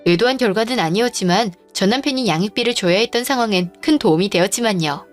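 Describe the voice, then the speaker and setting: A woman in her forties narrating a family story and speaking her own part, calm, firm and matter-of-fact. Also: medium pitch, quick pace. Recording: dry studio voice-over with faint music.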